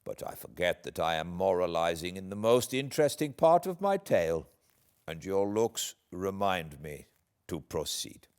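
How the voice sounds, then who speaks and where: A British man, an audiobook narrator, reading classic literature aloud in a measured, expressive, dramatic way. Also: deep voice, clean tone. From Britain.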